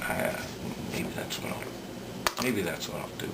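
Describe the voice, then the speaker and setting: A man giving unscripted workbench commentary as a repair hobbyist, hesitant and halting as he thinks aloud. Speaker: radio repair hobbyist demonstrating work. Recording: clear background noise.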